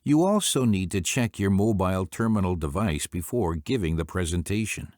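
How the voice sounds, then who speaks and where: A man presenting business training in scripted instructional narration, speaking in a formal, deliberate way. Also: sits low, animated, darkish tone, dry recording, studio quality.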